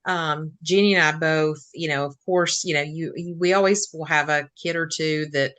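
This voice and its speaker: A woman's voice: low voice, fairly even intonation, normal speed, fairly bright tone. A woman who is an educator co-hosting an interview, reacting with eager, encouraging enthusiasm. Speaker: co-host, educator. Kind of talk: casual interview chat.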